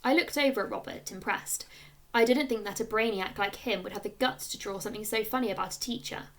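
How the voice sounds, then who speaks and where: A woman reading a children's story aloud like a schoolteacher, with expressive narration and clear storytelling emphasis. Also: mid-range voice, fast talker.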